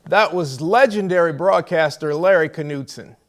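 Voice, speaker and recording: A man's voice: on the high side, normal speed. A man with an American accent hosting a sports interview show, putting conversational questions to his guests. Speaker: host of a college football coaches' show.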